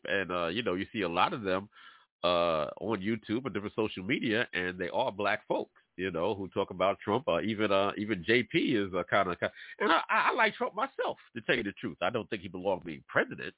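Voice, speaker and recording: A man from New York hosts an internet radio show, lecturing his listeners in a loose, preachy way, congested and stuffy. His slightly low voice swings widely in pitch. The sound is dry and narrow, like a phone line. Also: no room sound. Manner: animated, preachy, addresses listeners directly. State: congested from allergies. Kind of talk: casual talk radio monologue.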